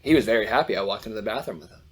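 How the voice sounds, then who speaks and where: A young man co-hosting a casual podcast chat, a little hoarse from losing his voice, telling animated stories and swearing freely. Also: lowish voice, rapid-fire, over a noisy crowd. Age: young adult. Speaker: podcast co-host.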